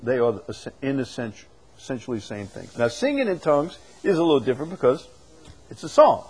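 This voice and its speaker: Preacher with a swinging, emphatic delivery. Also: male voice, medium pitch, expressive, brisk, a little mellow, breathy edge. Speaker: preacher leading a Bible study. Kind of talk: teaching with questions from the congregation.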